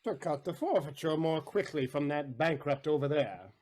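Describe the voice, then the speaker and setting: Man playing a defiant, higher-pitched vengeful moneylender. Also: lively intonation, moderate pace, a little mellow. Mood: stubborn, defiant. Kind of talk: audio play Shakespeare acting.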